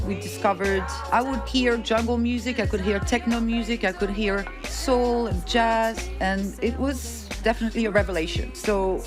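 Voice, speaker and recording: Middle-aged woman narrating over clear music. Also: mid-range voice. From France, of Tunisian background. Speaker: singer-musician telling their own story. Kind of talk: personal documentary narration. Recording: music playing under the voice.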